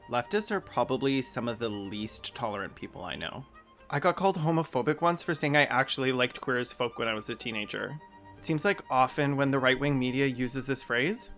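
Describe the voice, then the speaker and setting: A man's voice: medium pitch, a bit bright. A man hosting a video essay, mixing sarcastic, mocking asides with plain argument.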